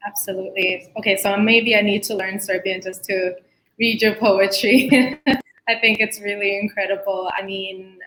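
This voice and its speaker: A woman's voice: mid-range voice, steady tone, normal speed, a bit bright, rough recording. A woman interviewing a guest, asking questions and responding in an encouraging, conversational way.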